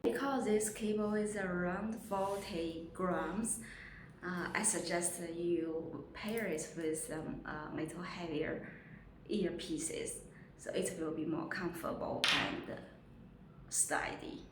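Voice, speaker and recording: Echoey, unhurried woman presenting an unboxing. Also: fairly low pitch, steady tone. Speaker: host of a product unboxing channel. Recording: echoey room with faint background noise.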